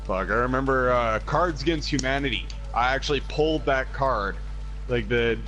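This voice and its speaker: A man's voice: mid-range voice, normal speed, a bit bright. A young man chatting casually on a game stream, swearing and playfully trying out voice impressions. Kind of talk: casual gaming chat. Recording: game music behind voice.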